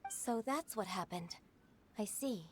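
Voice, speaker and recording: A woman's voice: mid-range voice, expressive, takes it slowly, a little hiss or hum. A woman voicing a polite, earnest young government secretary in a video game, speaking in a formal, composed way. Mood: earnest, resolute. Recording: studio voice over game music.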